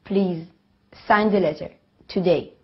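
A nineteen-year-old woman giving a deliberate, earnest scripted appeal to camera. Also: fairly low pitch, muffled recording. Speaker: conscientious objector in an appeal. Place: studio backdrop.

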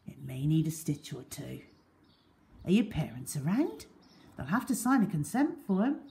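A woman voicing a brisk, caring first-aid lady in a storybook. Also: sits low, big pitch swings, creaky, mellow, exaggerated voice. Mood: brisk, reassuring.

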